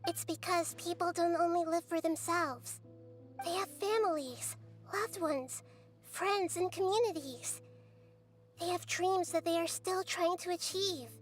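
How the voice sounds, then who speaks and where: A woman voicing a small, wise girl deity in a video game, calm and earnest as she lectures. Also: very high voice, a little slow. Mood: reflective. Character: small girl god, gentle, wise, calmly stern. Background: faint game music.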